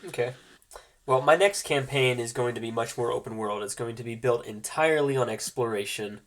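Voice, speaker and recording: A man acting as game master with a congested, nasal voice from a cold, explaining things informally and haltingly as he hosts a tabletop roleplaying game. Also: medium pitch.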